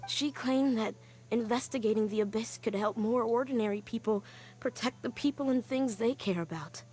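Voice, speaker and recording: A woman voicing an earnest young woman scholar in a game, telling her story quietly and sighing often. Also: on the high side, moderate pace, faint music behind, close to an everyday voice. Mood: wistful. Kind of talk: game cutscene voice acting.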